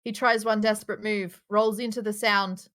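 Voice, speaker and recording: A woman's voice: mid-range voice, level tone, normal speed, a bit bright. A woman reading out screenplay stage directions at a casual live table read, with occasional offhand asides.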